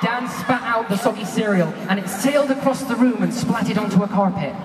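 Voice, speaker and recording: A woman's voice: medium pitch, brisk. A young woman giving a theatrical, giggly comic reading aloud to a live audience. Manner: theatrical, giggly, exaggerated delivery. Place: large echoing hall with a live audience. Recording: echoey hall from far off, loud crowd noise. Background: loud laughing, cheering crowd.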